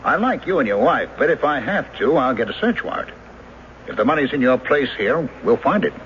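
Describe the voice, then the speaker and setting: A man's voice: medium pitch, big pitch swings, a little fast, lightly acted. Guy doing an official firm cop in old radio drama, lots of up and down, dull hissy sound. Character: polite but firm male police lieutenant. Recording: muffled noisy radio drama recording.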